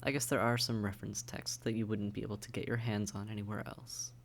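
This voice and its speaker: A man's voice: on the low side, level tone, quick pace, gravelly, a little hiss or hum. A man playing a suave, condescending vampire in an intimate audio roleplay, speaking to the listener in a polite, wry, teasing and articulate way. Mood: wry, conversational.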